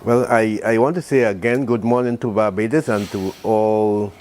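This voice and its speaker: A man's voice: on the low side, fairly even intonation, moderate pace, darkish tone, slight background noise. An older man, an association president and studio guest on a morning TV show, speaking earnestly and repeating his thanks. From Saint Vincent. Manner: earnest, thankful, repetitive phrasing. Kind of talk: morning TV interview.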